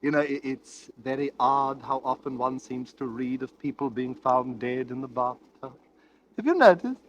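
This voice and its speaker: A man's voice: medium pitch, normal speed, dull audio, lightly acted. An actor playing a sly, genteel man who chattily and smugly confides a secret, in 1940s radio thriller style. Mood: smug, wry. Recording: noisy old 1940s radio recording.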